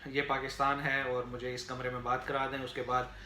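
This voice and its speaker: A man with a Pakistani accent giving unscripted political commentary to viewers in a halting, explanatory way, with frequent filler phrases. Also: medium pitch, little pitch movement, pushed and bright. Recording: echoey room, faint background noise.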